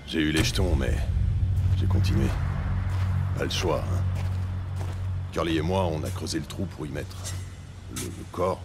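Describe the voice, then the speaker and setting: Extremely deep-voiced, nervous scrapyard crook. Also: male voice, over loud music. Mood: uneasy, confessing. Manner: halting, colloquial, defensive. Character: nervous crooked scrapyard owner in his forties confessing. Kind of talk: crime audio drama acting.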